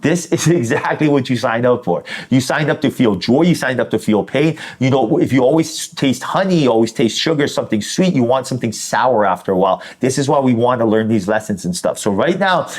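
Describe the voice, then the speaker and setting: Male tarot reader, mid-pitched, informal and colloquial, talking directly to the listener in an effusive, encouraging way. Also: lively intonation, brisk, hardly any pauses. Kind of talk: informal spiritual reading addressed to the listener.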